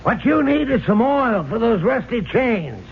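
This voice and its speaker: Blustering skeptic businessman, American. High voice, drawn-out scornful delivery, vintage radio drama. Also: sing-song, exaggerated voice. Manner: slangy, scoffing, blustery. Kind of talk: old-time radio drama acting. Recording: noisy, dull old radio recording.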